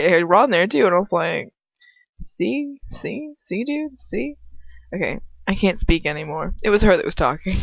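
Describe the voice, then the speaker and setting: A woman's voice: on the low side, fairly bright tone, dry recording, lo-fi sound. A woman reading fan fiction aloud as an amateur, often wandering off script into casual, self-mocking, goofy asides.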